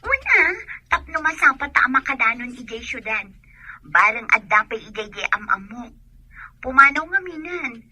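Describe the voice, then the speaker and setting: A restless young village woman in a radio drama, eager to get away. Her voice is high and somewhat rough-edged, with a Filipino accent and an insistent, hopeful delivery. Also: sing-song, moderate pace, sharp tone, no room sound, full character voice.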